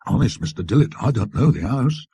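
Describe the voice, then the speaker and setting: A man voicing a rattled, talkative antique dealer who blusters and justifies himself in colloquial rambles, in a husky voice. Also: medium pitch, expressive, a little fast, warm tone, no room sound. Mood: confiding, earnest.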